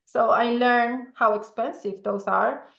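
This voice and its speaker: A woman's voice: a little high, fairly even intonation, slow, vocal fry, fairly bright tone, reverberant, muffled recording. A woman from Venezuela with a strong Spanish accent, speaking English as a second language as a guest in a casual interview, often checking in with "okay?" and laughing easily. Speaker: podcast guest, entrepreneur.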